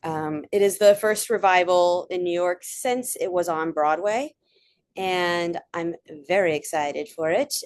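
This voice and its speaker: A woman's voice: fairly low pitch, unhurried. A young adult woman giving a casual online presentation, chatty, with frequent fillers and asides. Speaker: presenter of a theater talk.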